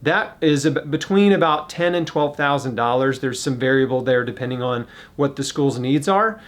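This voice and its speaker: A man's voice: mid-range voice, lively intonation, normal speed. An American man speaking informally and off the cuff as a video host, earnestly encouraging listeners to support a cause. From United States. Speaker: YouTube host making a charity fundraising appeal. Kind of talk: casual, unscripted appeal to camera.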